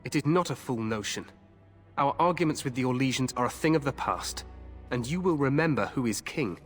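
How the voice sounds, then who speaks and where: A man's voice: medium pitch, expressive, fairly quick, natural voice. An actor playing an eager, glory-hungry young king in a video game, speaking in a regal, confident, commanding manner. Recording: long hall reverb with game music behind.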